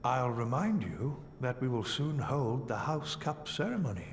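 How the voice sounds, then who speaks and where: A man playing a wise, kindly old wizard headmaster with a measured, formal manner in a video game. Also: mid-range voice, sing-song, a little hiss or hum. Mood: measured, ceremonious. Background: music.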